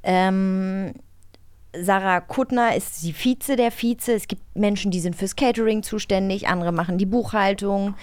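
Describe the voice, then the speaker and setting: A woman's voice: mid-range voice, measured pace, darkish tone, clear, resonant tone, no room sound. A woman co-hosting a podcast in casual, colloquial conversation in a studio. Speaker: podcast co-host. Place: dry studio.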